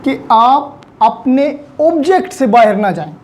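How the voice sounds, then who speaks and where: A man's voice: very high-pitched, animated, takes it slowly, slight background noise. A man with a Pakistani accent giving an explanatory lecture as a law teacher, repeating and rephrasing points and mixing in English terms.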